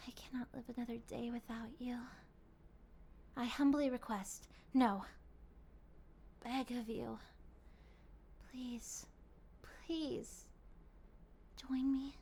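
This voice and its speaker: A woman playing a possessive, lovestruck vampire in an ASMR roleplay, speaking in formal, ornate, pleading phrases that often slip into breathy whispers. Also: fairly high pitch, mellow, a little hiss or hum. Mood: yearning, pleading. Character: obsessive, courtly, possessive female vampire in love.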